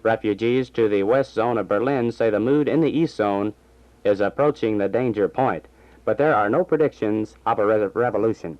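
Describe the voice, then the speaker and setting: A man reading scripted news and announcements as a 1960s radio newsreader, formal and measured. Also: lowish voice. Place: radio station studio. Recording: dull lo-fi vintage AM radio recording.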